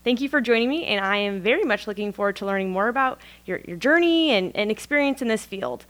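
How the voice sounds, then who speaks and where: A woman's voice: mid-range voice, expressive, fast talker, a bit bright. A woman hosting a podcast, reading a polite, formal welcome and then asking her guest questions. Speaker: podcast host. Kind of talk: scripted intro, then interviewing.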